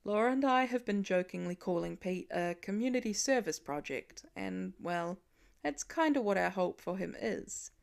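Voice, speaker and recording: A woman voicing a warm, wry, reassuring father in a storybook reading, talking gently. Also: medium pitch, expressive, normal speed, creaky, clean tone.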